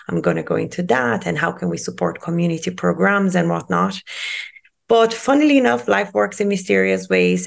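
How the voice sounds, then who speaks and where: A woman's voice: fairly low pitch, vocal fry. A woman from Brazil, telling her story as a guest in a chatty podcast interview, with plenty of "you know" and "whatnot". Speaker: guest, sustainability strategist.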